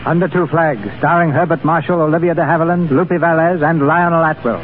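Formal male host over loud vintage music. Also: on the high side, fairly even intonation, brisk. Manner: formal, presentational. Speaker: radio show host and narrator. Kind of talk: 1930s scripted drama narration. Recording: tinny 1930s radio recording, loud music.

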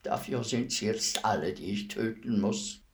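An actor playing a servile state executioner in a radio play, terse, deferential and matter-of-fact. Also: male voice, fairly low pitch, unhurried, lightly acted. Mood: quiet regret. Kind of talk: German radio play acting.

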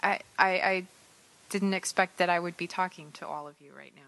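A woman's voice: fairly low pitch, fairly even intonation, a little fast, vocal fry, a bit bright, dry recording, poor audio. A woman guesting on a podcast, shy and hesitant, with many fillers and restarts. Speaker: guest, host's girlfriend. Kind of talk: casual podcast chat.

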